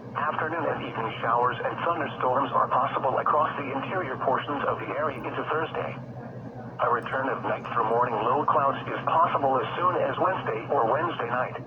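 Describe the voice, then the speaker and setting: Male automated weather radio voice, medium pitch, formal forecast read, heavy noise over a muffled broadcast signal. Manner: formal, synthesized automated delivery. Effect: weather radio transmission. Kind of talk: scripted weather forecast reading.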